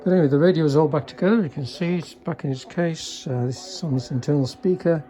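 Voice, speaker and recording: Mellow-voiced man giving a casual technical demonstration. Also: a little high, fast. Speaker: electronics hobbyist demonstrating a repaired vintage car radio.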